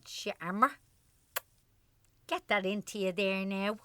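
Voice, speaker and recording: A woman's voice: medium pitch, slow, fairly bright tone, no room sound. A woman playing an affectionate, teasing Irish wife in her sixties in a radio drama. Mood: playful scolding.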